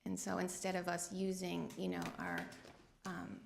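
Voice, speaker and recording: A soft-spoken woman answers questions at a city meeting, hesitant and full of pauses. Her lowish voice carries heavy vocal fry. A faint hiss sits under it. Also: completely flat delivery. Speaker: grant applicant. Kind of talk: public meeting testimony. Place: city council chamber. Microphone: meeting microphone.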